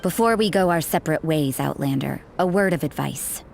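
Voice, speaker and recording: A woman's voice: sits low, a little fast, vocal fry, darkish tone, a little hiss or hum. A woman playing a suspicious, curt church sister in a video game, speaking coolly and dryly. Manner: cool, guarded, curt and dry.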